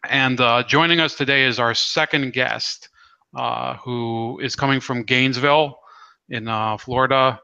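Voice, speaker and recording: A man hosting a casual interview in a halting way, as if thinking aloud. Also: mid-range voice, fairly even intonation, gravelly, bright, forceful tone, smooth, no echo, lo-fi sound. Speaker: interview host.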